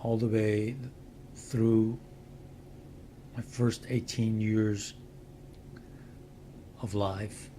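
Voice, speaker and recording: An older man with a Persian accent talks in a slow, unhurried way. His voice sits fairly low, and he gives measured explanations with pauses between phrases. A faint hum of room ambience runs behind him. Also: level tone, dark tone. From Iran. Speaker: channel host and translator. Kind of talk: slow explanatory talk to camera.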